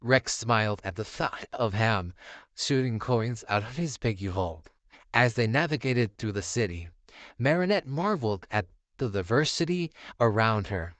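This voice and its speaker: A man reading a scripted bedtime story as narrator, in a measured, theatrical manner. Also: on the low side, animated, a bit bright, dry recording.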